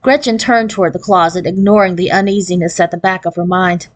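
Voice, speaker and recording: A woman narrating a children's novel as an expressive read-aloud. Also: fairly low pitch, a little fast. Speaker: storybook reader.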